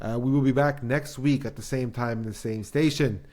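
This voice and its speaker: A man's voice: medium pitch, steady tone, normal speed, a little mellow. A young man hosting a podcast, chatting casually in slangy, swear-prone talk and repeating phrases for emphasis. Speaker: podcast host.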